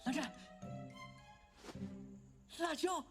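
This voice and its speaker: An actor voicing a playful, teasing young man in an animated series. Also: very high-pitched, extremely slow, over music, heavily acted. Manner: teasing, laughing. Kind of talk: Chinese animated series dub.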